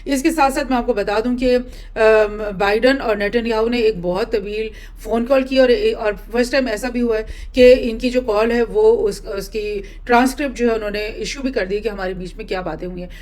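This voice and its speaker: A woman gives informal news commentary as a host, explaining and rephrasing her points in a halting way. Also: a little high, a little mellow. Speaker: host presenting news analysis.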